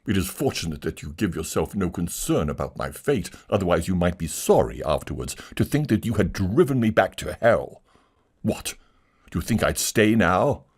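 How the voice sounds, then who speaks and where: A man's voice: sits low, sing-song, moderate pace, breathy edge, exaggerated voice. A man voicing a proud, self-pitying theatrical man who declaims in wounded, bitter tones.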